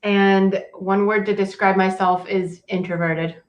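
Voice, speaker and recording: A woman whose first language is Cantonese speaks as a podcast guest in a relaxed, chatty way, dropping "like" and "you know" often. Her voice sits at an average pitch for a woman. The sound is roomy and echoey. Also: unhurried. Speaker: podcast guest, career coach. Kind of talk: casual interview conversation.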